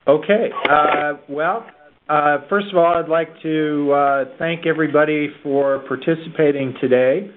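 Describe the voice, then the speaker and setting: A man moderating an informal conference call over the telephone, speaking in a halting way. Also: on the high side, steady tone, slight background noise. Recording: muffled telephone line.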